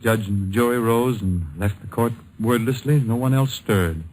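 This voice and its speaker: A man narrating a 1950s radio drama, solemn and poetic with deliberate phrasing. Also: on the low side, a little mellow, lightly acted, low-quality recording.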